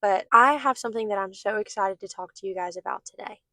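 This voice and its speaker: Young woman, hoarse, mid pitch, earnest encouraging devotional talk, dry close sound. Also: quick pace, bright, forceful tone, no echo. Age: young adult. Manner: earnest, encouraging, speaks straight to viewers. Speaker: host of a faith channel. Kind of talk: casual devotional talk to camera.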